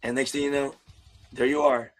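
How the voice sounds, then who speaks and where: A man's voice: medium pitch, flat delivery, moderate pace, faint music behind. A man hosting a casual interview over a video call, friendly and rambling, laughing often. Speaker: YouTube host, interviewer.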